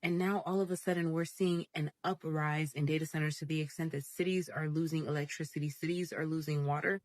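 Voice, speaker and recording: A woman's voice: sits low, fairly even intonation, fairly quick. A woman gives an explanatory monologue as a social media creator addressing her viewers.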